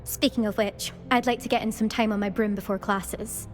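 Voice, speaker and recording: A woman's voice: mid-range voice, steady tone, brisk, natural voice. A woman playing a boastful, blunt, competitive teenage witch in a video game. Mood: cocky. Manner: cocky, blunt, dismissive. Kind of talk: scripted video game dialogue.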